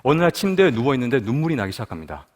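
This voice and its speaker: Animated man telling his story in a hall. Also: medium pitch, very fast. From Busan, South Korea. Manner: storytelling, asks the audience questions. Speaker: guest speaker at a prayer meeting. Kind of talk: personal testimony talk.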